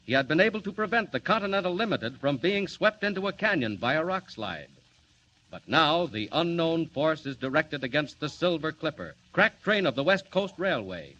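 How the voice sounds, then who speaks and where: A 1940s radio serial announcer, urgent and emphatic. His voice is fairly high and forceful with sweeping inflection, on a dulled vintage recording. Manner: emphatic, dramatic, crisp announcing. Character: urgent, dramatic serial narrator. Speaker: announcer and narrator. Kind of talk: 1940s radio scripted narration. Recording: dull, noisy old radio recording.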